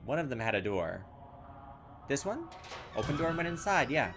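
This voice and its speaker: A man's voice: medium pitch, sing-song, moderate pace, a bit bright, soft background music. A man playing a horror game and reading its text aloud, thinking aloud and making fun of his own mistakes. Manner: self-mocking, thinks aloud, loses his way. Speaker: let's play gamer.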